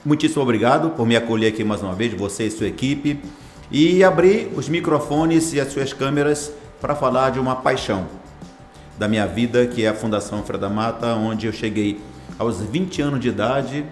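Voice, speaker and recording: A man's voice: mid-range voice, normal speed, darkish tone, echoey room, soft background music. A man in his sixties, a hospital foundation director, answering interview questions in a formal, ceremonious way with long lists of phrases. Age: 60s.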